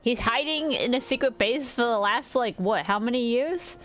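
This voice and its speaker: A woman's voice: a little high, normal speed, fairly bright tone. A woman live streaming a game, chatting casually and informally with viewers. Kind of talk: casual game stream chat with reading aloud. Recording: game music behind the voice.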